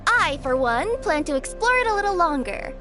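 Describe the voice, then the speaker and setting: A woman's voice: very high-pitched, very animated, moderate pace. A woman playing a small, innocent young dragon in a video game, childlike, earnest and eager. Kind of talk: video game character acting. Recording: music behind the voice.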